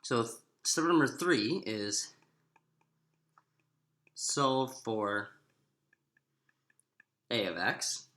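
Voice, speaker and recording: Animated man teaching math, echoey room. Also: medium pitch, drawn-out words, long pauses. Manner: explanatory, thinking aloud with self-posed questions. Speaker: math instructor. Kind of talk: informal math lecture, working through steps aloud. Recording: echoey, roomy sound.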